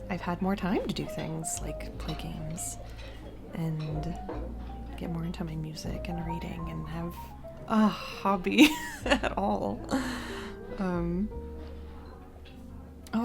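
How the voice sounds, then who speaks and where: Music plays behind a woman acting as a single mom on a blind date in a romantic audio roleplay. She talks slowly and haltingly, flustered and a little self-conscious, with nervous giggles. Her pitch sits in an ordinary middle range for a woman. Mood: curious, self-conscious. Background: music, restaurant ambiance.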